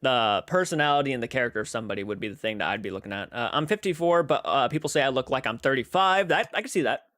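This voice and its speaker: A man's voice: medium pitch, expressive, quick pace, hardly any pauses, fairly bright tone, dry recording, studio quality. A man in his twenties casually chatting as a livestream host, rambling as he reads viewer comments aloud and answers them. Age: 20s.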